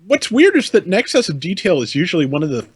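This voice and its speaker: Higher-voiced man chatting on a panel, dry. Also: very animated, fast talker, breathy edge, dry recording. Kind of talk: casual panel chat.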